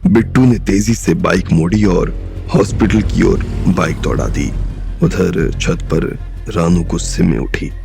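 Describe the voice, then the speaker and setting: A man with a very low, gravelly voice narrates a crime drama at a slow, measured pace. His delivery is steady and even throughout. Faint background music runs underneath. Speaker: audiobook narrator.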